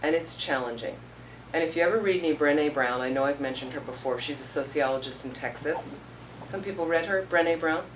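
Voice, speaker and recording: A woman with a low voice gives a relaxed teaching talk, chatting through stories and slipping into the voices of the people in them. She is heard from across the room on a distant mic, with a faint hum of room noise behind her. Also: a little fast, hardly any pauses. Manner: conversational, storytelling with acted-out quotes. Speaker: Buddhist teacher. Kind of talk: informal dharma talk.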